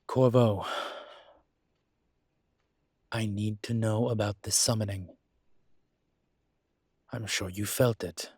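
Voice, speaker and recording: A man playing a weary, earnest incubus demon in a dramatic audio roleplay, pleading diplomatically in a measured, halting way with dry asides. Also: lowish voice, steady tone, darkish tone, slightly rough, no echo, exaggerated voice. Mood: persuasive.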